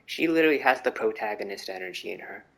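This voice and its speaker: A man's voice: fairly low pitch, expressive, fast talker, gravelly, a bit bright, poor audio. A young man giving casual, joking reaction commentary as a game streamer, slangy and repeating phrases for emphasis. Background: game music.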